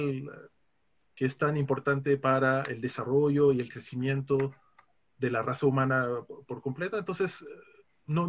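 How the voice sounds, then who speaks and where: A man from France, a guest on a casual podcast, explaining things calmly with frequent hesitations. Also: on the high side. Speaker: guest, conference interpreter. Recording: dull lo-fi recording.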